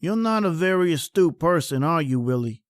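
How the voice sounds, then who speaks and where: A man playing a coolly menacing killer who pretends to be a friend in an old-time radio mystery. He is theatrical and taunting, with a slightly high male voice in a dry, close recording. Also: lively intonation, moderate pace, no room sound, natural voice. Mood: cold menace.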